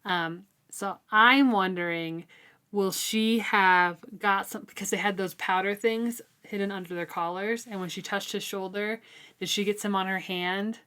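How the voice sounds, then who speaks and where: A woman co-hosting a casual, informal book discussion, talking in a halting, conversational way. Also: mid-range voice, steady tone, sharp tone, clear, resonant tone. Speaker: co-host of a book read-along discussion.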